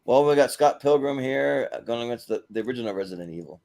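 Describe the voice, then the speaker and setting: A man's voice: medium pitch, lively intonation, fast, vocal fry, a little mellow. A man hosting a casual panel chat, speaking informally to the other participants. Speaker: host of panel show.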